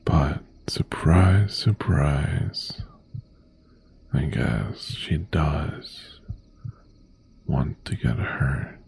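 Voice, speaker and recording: Man voicing a very slow, gravelly mafia boss. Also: very deep voice, lively intonation, exaggerated voice. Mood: quiet menace. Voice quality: gravelly, breathy half-whisper. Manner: slow, deliberate, softly threatening. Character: obsessive, menacing male mafia boss ex-boyfriend, possessive yet tender. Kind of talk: boyfriend ASMR roleplay, intimate monologue.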